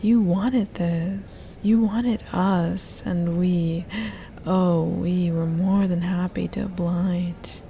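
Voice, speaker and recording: A woman playing a mischievous, seductive hypnotist in an ASMR roleplay, coaxing in a breathy, drawn-out half-whisper. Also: on the low side, fairly even intonation, dark tone, slight background noise, heavily acted. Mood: coaxing, smug.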